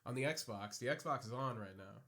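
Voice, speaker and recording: Young male podcast co-host, rambling crude jokester, mid pitch, podcast mic. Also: normal speed, fairly bright tone. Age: young adult. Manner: rambling, joking, laughs often. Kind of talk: casual crude podcast banter. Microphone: podcast microphone.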